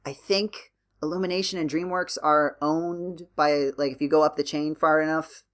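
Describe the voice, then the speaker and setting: A man's voice: on the high side, fairly even intonation, normal speed, fairly bright tone. A man hosting a casual podcast discussion, explaining his thoughts in a rambling, hesitant way. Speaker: podcast host.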